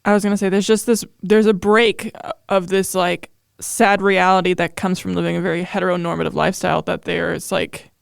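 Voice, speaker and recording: Creaky, clear-voiced young woman podcast host. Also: fairly low pitch, flat delivery, brisk, no echo, clean studio sound. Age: late 20s. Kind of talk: casual conversational interview.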